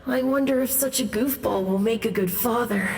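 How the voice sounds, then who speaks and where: A woman voicing the gentle, wistful ghost of a young friend in a video game, with a reverb effect on the voice. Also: fairly high pitch, normal speed, slight background noise.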